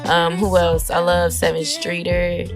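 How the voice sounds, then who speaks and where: A woman singer with a naturally deep voice and a light rasp, chatting casually and relaxed as an interview guest, with plenty of fillers. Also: unhurried, over music.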